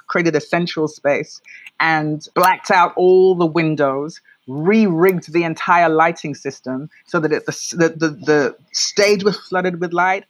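A woman with a London British accent telling stories as an interview guest, in an expressive, emphatic conversational way. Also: fairly low pitch, dry recording. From London, England. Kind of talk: reflective conversational storytelling.

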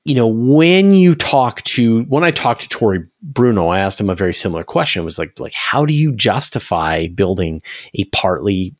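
A man's voice: on the low side, sing-song, moderate pace, hardly any pauses, dry recording, studio quality. A man talking conversationally as an interview guest, explaining things at length and restarting phrases as he reasons aloud. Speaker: interview guest, space news commentator.

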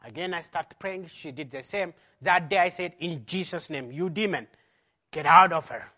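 A man's voice: on the high side, normal speed, bright, forceful tone. A man pastor from Ethiopia with an Ethiopian accent, giving an emphatic personal testimony as a conference talk in non-native English.

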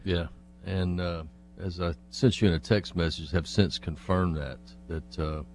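A man co-hosting a casual radio talk show, speaking in a halting, matter-of-fact way. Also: low-pitched, fairly even intonation, soft background music.

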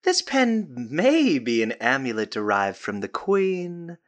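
A man's voice: medium pitch, very animated, takes it slowly. A man playing a condescending, secretive doctor in an audio drama, speaking in grandiloquent, patronizing formal phrases. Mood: smug, patronizing.

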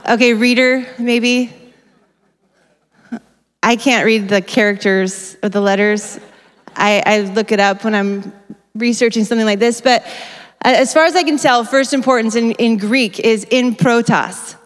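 Energetic woman preaching in a reverberant hall. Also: fairly high pitch, measured pace. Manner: energetic, conversational, self-correcting. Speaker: conference speaker. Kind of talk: sermon. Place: large church hall. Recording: long reverb of a church hall.

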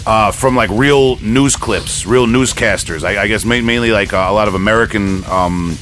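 A man's voice: on the low side, moderate pace, a bit bright. Rambling male podcast host over music. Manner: rambling, enthusiastic fan talk. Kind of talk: casual music talk. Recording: music playing behind the voice.

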